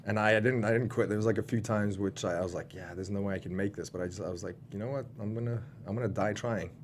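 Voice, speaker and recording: A man's voice: on the low side, steady tone, fast talker, slight background noise. A man in his thirties with a South African accent, chatting casually as an interview guest, rambling with many fillers and restarts. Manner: rambling, lots of fillers and restarts, laughs often. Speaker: guest wrestler.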